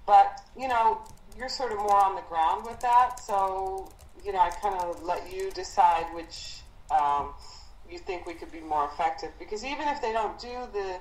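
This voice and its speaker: A woman speaking as a professor leading an informal team discussion on a conference call, in a hesitant, thoughtful, halting manner. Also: on the low side, darkish tone, reverberant, low-quality recording.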